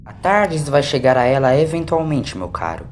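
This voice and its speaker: A man's voice: mid-range voice, very animated, moderate pace, slight background noise, lightly acted. A man voicing an eccentric, breezy and curious time-travelling doctor in an audiobook story. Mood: breezy curiosity.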